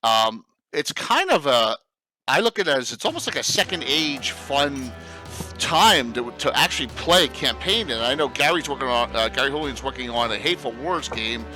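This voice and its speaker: A man's voice: medium pitch, animated, moderate pace, bright, forceful tone, soft background music, rough recording. A man with a Philadelphia accent hosting a casual livestream chat, eager and rambling with lots of fillers. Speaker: host.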